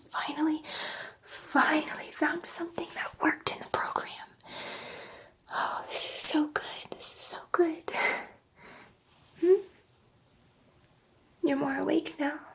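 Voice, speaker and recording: A soft, breathy whisper carries this woman's portrayal of a female alien scientist in an ASMR sci-fi roleplay. She takes her time, with unhurried phrasing and a high, delicate pitch. She is gentle and reassuring, a little nervous and prone to rambling. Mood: delighted. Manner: hesitant, rambling, reassuring, easily sidetracked.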